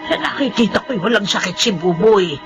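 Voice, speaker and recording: A foreboding old grandmother played by a woman in a horror radio play. She speaks gravely and with certainty, music playing behind her. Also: mid-range voice, animated, a little fast, close to an everyday voice. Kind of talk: Tagalog radio horror acting.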